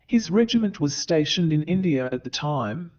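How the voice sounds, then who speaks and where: Higher-voiced male storyteller on a muffled recording. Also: animated, normal speed, a little mellow, soft background music. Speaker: narrator. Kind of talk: theatrical scripted storytelling narration.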